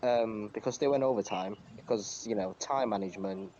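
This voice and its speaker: A man's voice: on the low side, brisk, darkish tone. A man giving blunt, unimpressed opinions as a panelist in casual review chat. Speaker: podcast panelist. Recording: dull lo-fi mic with faint noise.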